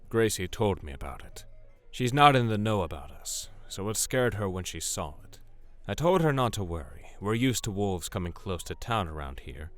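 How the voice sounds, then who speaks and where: A man as a wry, low-voiced old vampire. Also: sing-song, normal speed, fairly bright tone, faint music behind. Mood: wry, helpful. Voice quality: low-pitched. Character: wry century-old male vampire bar owner, watchful informant. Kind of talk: audio drama acting.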